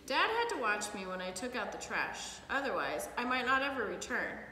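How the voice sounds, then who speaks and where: A middle-aged woman reading a picture book aloud to children with expressive, animated storytelling, recorded in a living room by a camera a few feet away. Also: fairly high pitch, normal speed. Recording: echoey hard-walled room, some background noise.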